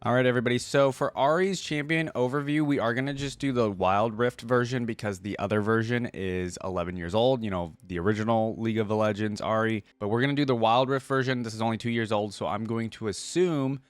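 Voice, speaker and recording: A man's voice: lowish voice, fairly quick, hardly any pauses, no room sound. A man hosting a reaction video, commenting casually on a game and thinking aloud in an informal way. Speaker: reaction video host, gamer.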